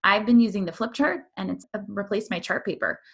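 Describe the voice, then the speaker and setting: A woman's voice: mid-range voice, fairly quick, vocal fry. A woman co-hosting an educational podcast, talking in an earnest, conversational way and often checking in with "right?". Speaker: podcast co-host. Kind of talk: casual podcast chat.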